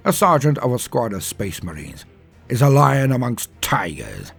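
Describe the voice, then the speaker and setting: A man delivering grandiose scripted narration like a stirring speech, emphatic and full of deliberate dramatic pauses. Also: mid-range voice, big pitch swings, gravelly, breathy edge, soft background music. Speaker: narrator of a fan lore channel.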